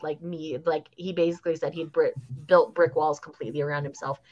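A woman co-hosting a casual book podcast, talking with enthusiasm, laughing at herself and trailing off softly at the ends of thoughts. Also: deep voice, steady tone, brisk. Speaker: podcast co-host. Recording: slightly dull lo-fi sound.

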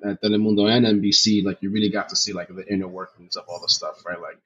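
A young man from New Jersey, a filmmaker guest on a podcast, talking casually in a relaxed, rambling way full of "you know" and "like". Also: deep voice, fast, breathy edge, highs cut off. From New Jersey, USA. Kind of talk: casual interview chat.

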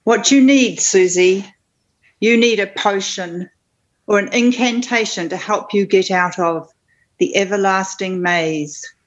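Woman as a slow, portentous mentor witch. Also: mid-range voice, dull audio, lightly acted. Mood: mystical. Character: cryptic, solemn mentor witch professor, mystical. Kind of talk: improvised comedy audio sketch.

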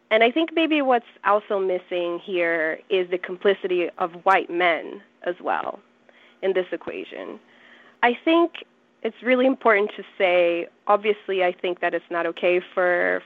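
A woman's voice: mid-range voice, dry recording. Brazilian-born woman journalist, thoughtful interview guest on a phone line. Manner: earnest, thoughtful, building arguments with frequent restarts. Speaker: journalist and researcher. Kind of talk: interview answer.

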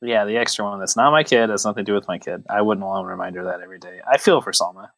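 Wry man with a lowish, dry-recorded voice. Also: very fast, gravelly, fairly bright tone, no room sound. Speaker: podcast host. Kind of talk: casual joking film chat.

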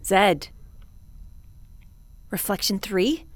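Skeptical space commander: mid-pitched, dry close sound, crisp host-like formality, audio drama. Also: female voice, expressive, very slow, no echo. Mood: wary. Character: brisk, skeptical female space commander hosting call-in archive.

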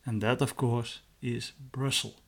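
A man's voice: mid-range voice, fairly even intonation, a little slow, gravelly. A man with a Dutch accent, a native Dutch speaker, hosting his own podcast in plain, earnest talk with hesitant fillers. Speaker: podcast host. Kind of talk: casual solo podcast talk.

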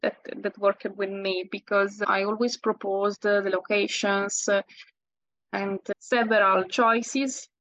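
A woman in her thirties with an Italian accent, answering interview questions in halting English with many restarts. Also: mid-range voice, flat delivery, a bit bright, dry recording, rough recording. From Italy. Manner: halting, many restarts and fillers, laughs often. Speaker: guest, landscape photographer.

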